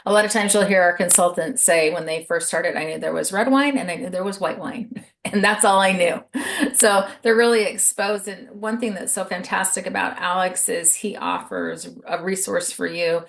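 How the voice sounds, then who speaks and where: A woman's voice: on the low side, expressive, normal speed. A woman hosting a video call, giving an upbeat, persuasive sales presentation. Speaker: host.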